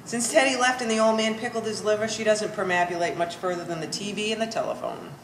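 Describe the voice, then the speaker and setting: A woman's voice: mid-range voice, fast talker, lightly acted. A woman playing a bitter, sardonic Polish-American daughter of sixty with pompous vocabulary, in a staged play reading. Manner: dry, pompous big words. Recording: echoey room, faint background noise.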